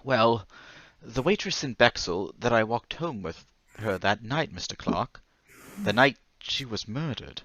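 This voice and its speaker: A man's voice: mid-range voice, expressive, unhurried, a bit bright, dry recording. An actor playing a timid, troubled stocking salesman in an old-time radio drama, hesitant, stammering and deferentially polite. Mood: anxious, confessional.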